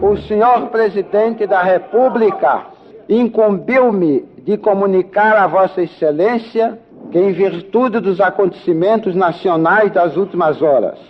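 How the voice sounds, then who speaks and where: A Brazilian man formally reads an official statement in a high voice. The archive recording is dull, with clear noise throughout. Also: unhurried. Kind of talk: formal reading of an official communication.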